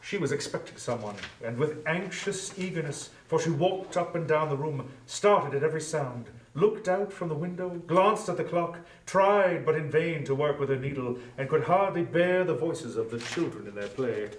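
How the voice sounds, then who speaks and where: A man narrating a story in a solemn, deliberate, theatrical dramatic style. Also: a little high, animated. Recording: echoey room, distant from mic.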